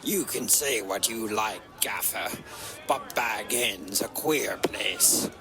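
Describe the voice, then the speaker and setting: A man's voice: fairly low pitch, slow, gravelly. An actor playing a suspicious, sour rustic hobbit in an audio drama, grumbling and insinuating as he gossips. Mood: suspicious, scornful. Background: music.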